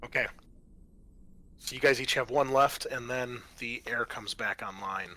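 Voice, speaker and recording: A man's voice: medium pitch, unhurried. A man working as game master of a tabletop roleplaying game, talking informally and thinking aloud as he goes. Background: quiet game soundtrack music.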